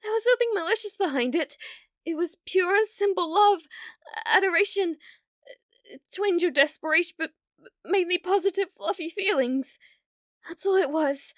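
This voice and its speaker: A British woman playing a desperate, delusional, lovesick streamer in an ASMR roleplay, pleading haltingly and slipping into breathy whispers. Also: extremely high pitch, fairly bright tone, no echo, studio quality, pitched above the performer's own voice. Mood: frantic, pleading.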